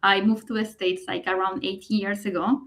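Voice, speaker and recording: A woman's voice: medium pitch, little pitch movement, fairly quick, a bit bright. Colombian lady, late 30s, talking over a video call, rambly and easygoing, lots of "like". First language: Spanish. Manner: chatty, loosely phrased with frequent "like". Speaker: guest. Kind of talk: casual interview on a video call.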